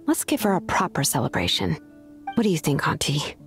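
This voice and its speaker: A woman playing a confident, principled young artisan in a video game, kindly but firm, with a measured manner. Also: deep voice, big pitch swings, creaky, heavily acted. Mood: reassuring. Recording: music behind the voice.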